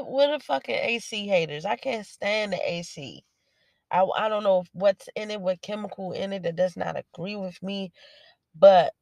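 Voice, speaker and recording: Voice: mid-range voice, creaky, no echo, studio quality. A podcast host with a stuffy nose, rambling playfully and swearing freely in a casual solo monologue from inside a parked car at night. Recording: music playing behind the voice.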